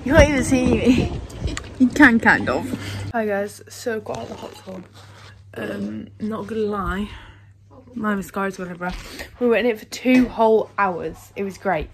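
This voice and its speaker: A woman's voice: medium pitch, normal speed, gravelly. An eighteen-year-old woman, chatting casually and giggling easily as she vlogs. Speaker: vlogger. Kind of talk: casual vlog chat. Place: holiday lodge rooms and outdoor hot tub. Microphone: phone at short distance. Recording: noisy handheld home video.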